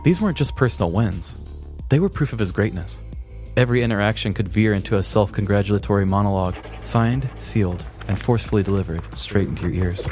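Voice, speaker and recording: A man co-hosting a podcast, telling a scripted comedic story in a deliberate, mock-grandiose way. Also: lowish voice, darkish tone. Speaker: podcast co-host.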